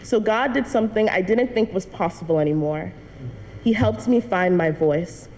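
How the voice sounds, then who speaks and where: A woman's voice: medium pitch, moderate pace, darkish tone. A young woman giving an earnest personal testimony speech to a church congregation, telling her story with light laughs. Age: young adult. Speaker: student speaker addressing a church congregation. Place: church hall. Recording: long church reverb, faint noise.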